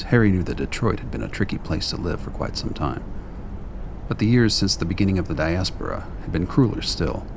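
A man reading scripted narration for a radio play, telling the story as its narrator. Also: low-pitched. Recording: clear background ambience noise.

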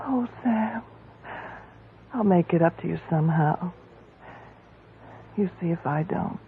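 A woman's voice: fairly low pitch, takes it slowly, slightly rough, phone-quality sound, full character voice. An actress playing a glamorous woman who pleads with her old flame, a detective, in a 1940s radio drama, with a coaxing, melodramatic delivery. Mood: pleading. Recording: scratchy 1940s radio broadcast.

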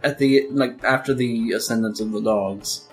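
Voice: medium pitch, normal speed, husky, faint music behind. A player in a tabletop game who chimes in playfully during casual chat.

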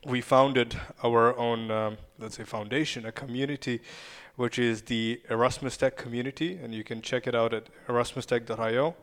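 A man's voice: mid-range voice, steady tone, moderate pace, hardly any pauses, fairly bright tone. A man from Ukraine, a podcast guest, telling stories in a chatty way and laughing often. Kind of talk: casual podcast chat.

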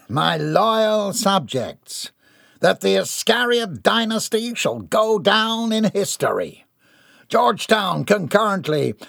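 A man voicing a pompous, self-crowning male swindler tycoon in a read-aloud story, speaking in a grand, drawn-out, theatrical way. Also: high voice, creaky, a bit bright, no echo, clean studio sound, voice pushed up, exaggerated voice. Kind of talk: storybook character voice.

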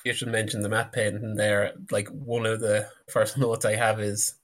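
A man's voice: lowish voice, flat delivery, normal speed. A man on a podcast panel, casually discussing a TV episode.

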